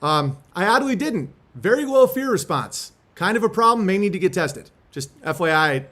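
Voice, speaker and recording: A man's voice: high-pitched, big pitch swings, normal speed, a bit bright. A man chatting casually in slangy, sweary language as a host, partly reading a letter aloud. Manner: laid-back slangy talk with frequent swearing.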